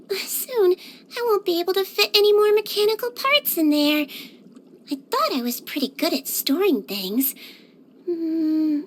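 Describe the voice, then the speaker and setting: A woman voicing a small, cute non-human girl nurse from a video game, speaking in a sweet, gentle, childlike and earnest way. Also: very high-pitched, full character voice. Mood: pondering. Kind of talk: scripted video game character voice lines.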